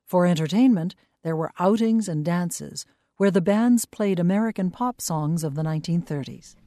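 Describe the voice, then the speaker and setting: Woman, documentary narrator, mellow and low, dry studio read, even pacing. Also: no room sound. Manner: measured, even delivery. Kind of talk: scripted narration.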